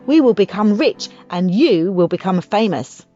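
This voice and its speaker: A woman voicing a wildly excited, impatient father with grand schemes in a children's audiobook, emphatic and urgent. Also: mid-range voice, normal speed, dry recording, lightly acted. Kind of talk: audiobook character voice for children's story. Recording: muffled audio with music behind.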